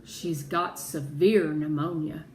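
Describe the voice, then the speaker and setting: A woman's voice: low-pitched, fairly even intonation, takes it slowly. Tough, blunt woman, read by a storyteller, echoey room. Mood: matter-of-fact. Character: tough, blunt street woman in a children's novel. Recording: roomy echo with background noise.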